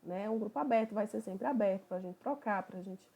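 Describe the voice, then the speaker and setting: Woman leading a study group, warm low-key voice, chatty and easygoing. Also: a little fast. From Bahia, Brazil. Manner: relaxed, conversational, thinking aloud. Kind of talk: online study group opening talk.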